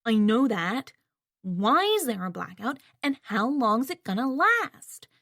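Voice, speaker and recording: A woman's voice: a little high, big pitch swings, takes it slowly, a bit bright, dry recording, studio quality, full character voice. A woman doing a spoiled teenage brat. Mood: sulky. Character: spoiled sixteen-year-old boy, scowling brat.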